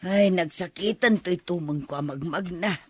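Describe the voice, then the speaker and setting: A woman playing an older single auntie in a radio drama, with an Ilocano accent and a husky voice. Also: on the low side, normal speed, no echo. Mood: wistful, curious. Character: older aunt, lonely single woman seeking old flame.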